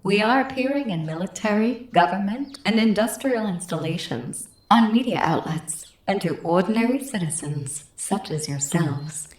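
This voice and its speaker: A woman playing a calm, condescending female alien visitor in a radio play, speaking in haughty, formal, unhurried pronouncements. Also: medium pitch, close to an everyday voice. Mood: aloof. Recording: roomy, echoing voice recording.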